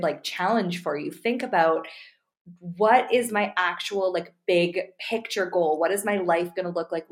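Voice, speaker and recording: A woman with a lowish voice hosts a relaxed podcast interview. She talks in a chatty, encouraging way, often circling back with fillers as she shapes her questions. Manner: chatty, affirming, with frequent fillers. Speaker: podcast host.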